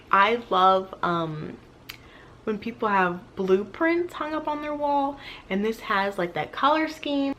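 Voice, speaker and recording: A woman's voice: mid-range voice, fairly bright tone, slight background noise. A woman chatting casually to viewers as a book vlogger, candid and rambling, often questioning herself.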